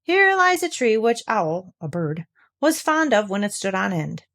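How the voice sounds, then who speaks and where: A woman's voice: medium pitch, sing-song, measured pace, fairly bright tone. A woman voicing a modest, simple male toy bear from a children's storybook, musing and reciting in a sing-song way. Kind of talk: children's storybook read aloud.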